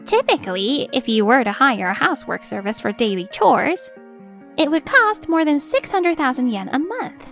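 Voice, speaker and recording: A woman playing a composed, steely attorney in a manga dub, speaking formally and with measured assertiveness. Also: sits high, very animated, a bit bright, soft background music, heavily acted. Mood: formal, firm. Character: composed, steely female attorney confronting a husband. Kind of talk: acted manga dub dialogue.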